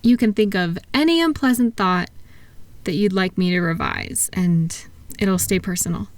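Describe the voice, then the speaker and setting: A woman playing a kind, patient therapist in a relaxation roleplay audio, speaking softly and gently in an unhurried, reassuring way. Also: fairly low pitch, animated, dry recording. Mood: calm, reassuring. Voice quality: soft-spoken, gentle. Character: kind, patient female thought-calming therapist soothing a client. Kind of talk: soft-spoken roleplay relaxation audio for sleep.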